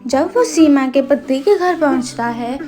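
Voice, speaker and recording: A woman's voice: high voice, expressive, unhurried, over music. A woman narrating a scripted cartoon story as the storyteller.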